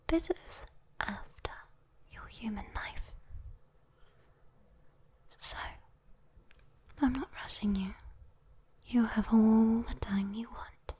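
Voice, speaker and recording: A woman's voice: mid-range voice, darkish tone. Woman playing a whispering, teasing British demon girl. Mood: tender, possessive. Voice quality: breathy whisper. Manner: slow, intimate, teasing. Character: sweet, teasing, possessive female demon watching over someone. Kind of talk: whispered ASMR roleplay.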